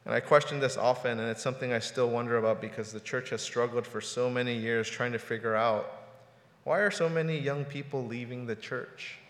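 A man's voice: mid-range voice, steady tone, fairly quick. A pastor in his forties preaching a sermon in a casual, conversational way, often repeating words as he talks. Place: church sanctuary. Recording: roomy hall reverb.

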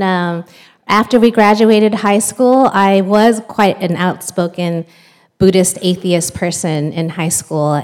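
A woman in her thirties from the United States, a pastor telling her faith story in a chatty, storytelling way with fillers and small laughs. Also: medium pitch. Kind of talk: church talk, personal testimony.

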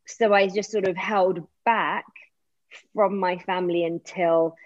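A woman from England, an actor telling her story as an interview guest in chatty, animated bursts full of fillers and restarts. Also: fairly low pitch, measured pace, no room sound. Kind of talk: casual interview chat. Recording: dull lo-fi recording.

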